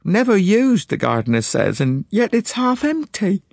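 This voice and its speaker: A man's voice: high voice, big pitch swings, moderate pace, gravelly, a little mellow, no room sound, clean studio sound, voice pushed up, exaggerated voice. A man reading an audiobook, voicing an anxious, indignant middle-aged woman who talks in a prim, complaining way. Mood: fretful. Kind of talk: audiobook character voice.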